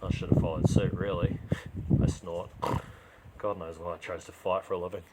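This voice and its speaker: A man's voice: sits low, little pitch movement, moderate pace, very noisy. A man reading a first-person crime story as a dramatised narration.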